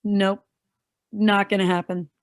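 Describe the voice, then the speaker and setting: A woman's voice: mid-range voice, little pitch movement, a little slow, natural voice. An actress playing a blunt, exasperated woman vigilante in a staged play reading, with sarcastic delivery.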